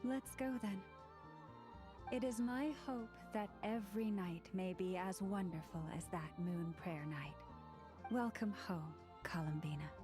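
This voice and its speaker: Woman acting devout priestess, gracious, formal, measured, medium pitch, anime game, music behind. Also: close to an everyday voice. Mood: reverent. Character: gracious, devout priestess welcoming her goddess.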